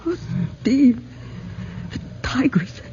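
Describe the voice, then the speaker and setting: A woman's voice: high voice, lively intonation, drawn-out words, a loud crowd, heavily acted. A woman playing a nervous but plucky newspaper reporter in a 1940s radio drama, hesitant and dramatically alarmed. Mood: frightened. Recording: dull noisy 1940s radio recording.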